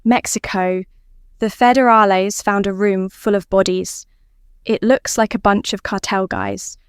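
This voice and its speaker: Grave, reluctant military man for audio fiction, voiced by a woman, clear delivery, dry close sound. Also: mid-range voice, steady tone, unhurried, no room sound, close to an everyday voice. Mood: grave, troubled.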